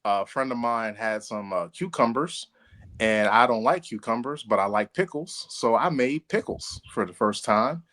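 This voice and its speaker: A man's voice: medium pitch, a bit bright. Playful, casual man bantering. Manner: playful, profane, quick to crack up. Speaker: podcast co-host.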